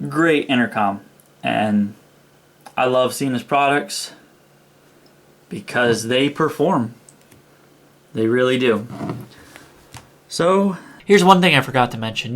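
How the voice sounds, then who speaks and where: A young man with an average-pitched voice talks through a product review. His delivery is casual and keen, and he often repeats a word to stress his point. He sounds like he is chatting to a camera indoors. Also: a bit bright. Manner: enthusiastic, informal, repeats words for emphasis. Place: indoors, small room.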